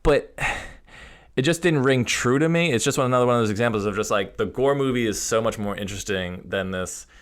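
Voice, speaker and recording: A man's voice: mid-range voice, brisk, clear, resonant tone. A man co-hosting a podcast who criticizes a film in a hesitant way, full of "uh" and "like.". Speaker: podcast co-host. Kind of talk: casual film review chat.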